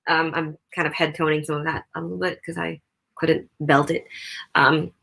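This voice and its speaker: A young woman with a low mezzo voice, chatting loosely with lots of fillers while reviewing a microphone up close in a home room. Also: flat delivery, moderate pace, a bit bright. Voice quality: low mezzo voice, crisp consonants. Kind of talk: casual spoken review. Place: untreated home room, not soundproof. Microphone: studio USB condenser microphone close up.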